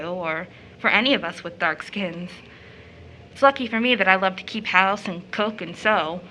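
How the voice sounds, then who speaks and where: A woman's voice: mid-range voice, sharp tone, a little hiss or hum, natural voice, low-quality recording. An actress playing a proud, bitter young woman who is kind to children, in a scripted audio drama.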